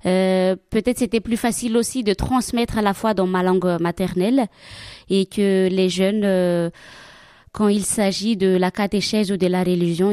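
Hesitant woman, mellow voice. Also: mid-range voice, no room sound, clean studio sound. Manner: hesitant, many fillers and restarts. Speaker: nun and catechist. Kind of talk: radio interview answers.

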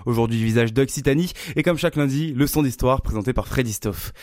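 A man announcing the program on a regional radio station, reading a scripted introduction in a formal, even manner. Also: medium pitch, a little fast, dry recording, clean studio sound. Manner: smooth, formal presentation.

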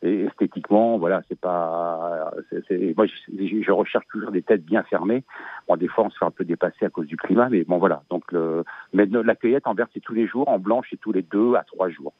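A man's voice: low-pitched, fairly quick, dry recording. Hesitant Belgian man on a tinny phone line. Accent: Belgian French. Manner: hesitant, many fillers and restarts. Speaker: asparagus grower guest. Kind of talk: radio interview, casual chat.

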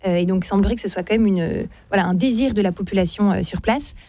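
A woman speaking off the cuff as a radio debate guest, explaining in a measured, somewhat hesitant way. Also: medium pitch, dark tone, no echo. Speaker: debate guest, philosophy teacher.

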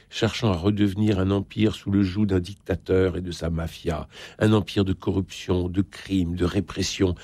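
A man's voice: on the low side, gravelly, a little mellow, no room sound. A man hosting a literary interview, talking and reading book passages aloud. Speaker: interviewer, literary show host.

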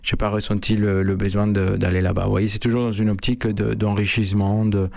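Man, flat gravelly voice, halting answers, dry sound. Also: on the low side, dry recording. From Mexico. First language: Spanish. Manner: halting, many fillers and restarts. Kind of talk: hesitant interview answers.

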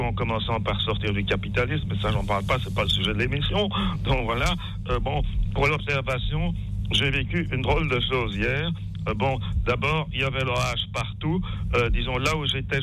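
A man calling in to a radio show, rambling and halting as he talks. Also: mid-range voice, a bit bright, low-quality recording. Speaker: caller. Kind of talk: phone-in call.

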